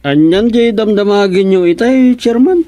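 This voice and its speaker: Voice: medium pitch, normal speed, music in the background, close to an everyday voice. An actor playing a gossipy, complaining village colleague in an Ilocano radio comedy drama, exclaiming often, with an Ilocano accent.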